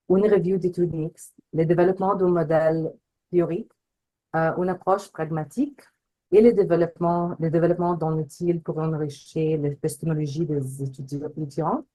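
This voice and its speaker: A woman, not a native speaker of the language, introducing a prize over a video call, halting and searching for words. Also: lowish voice, warm tone, poor audio. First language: not French. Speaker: prize committee co-chair. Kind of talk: webinar introduction.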